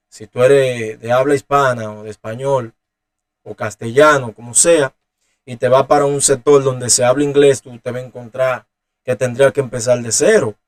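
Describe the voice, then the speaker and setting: A preacher with a Dominican Spanish accent explains scripture at an unhurried, teaching pace. He speaks in a middle-pitched voice and often pauses on "eh" as he gathers his thoughts. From Dominican Republic. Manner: unhurried, explanatory, with frequent "eh" fillers. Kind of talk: Bible teaching.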